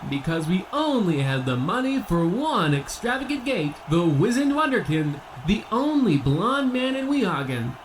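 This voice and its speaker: High-pitched, bombastic boxing announcer. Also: male voice, fairly bright tone, a little hiss or hum, exaggerated voice, low-quality recording. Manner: grandiose, theatrical showman delivery. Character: bombastic male boxing ring announcer obsessed with ancient Rome. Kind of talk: old-time radio comedy acting, sports announcing.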